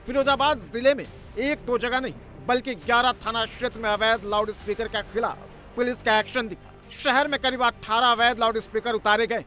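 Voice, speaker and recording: A man's voice: extremely high pitch, fairly even intonation, brisk, hardly any pauses, pushed and bright, faint music behind. A man narrating a television news report from a script in an emphatic, dramatic, rhetorical style.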